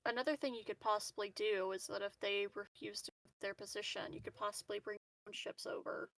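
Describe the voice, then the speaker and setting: A woman's voice: mid-range voice, steady tone, brisk, fairly bright tone, low-quality recording. A woman playing a tabletop roleplaying game, chatting informally as she offers suggestions. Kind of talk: casual game chat.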